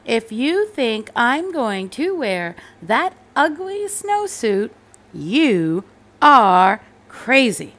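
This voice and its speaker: A woman voicing a stubborn, defiant little boy in a storybook, with emphatic, drawn-out refusals. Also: a little high, very animated, a bit bright, exaggerated voice. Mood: indignant.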